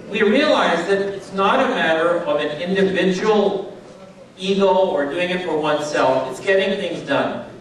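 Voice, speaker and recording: A middle-aged man speaking informally to an audience at a charity event, chatty and checking in with listeners, heard through a PA speaker from a distance. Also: high voice. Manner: conversational, checks in with audience, many fillers. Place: event hall. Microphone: PA speaker, recorded from a distance. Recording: very echoey hall, voice from far off.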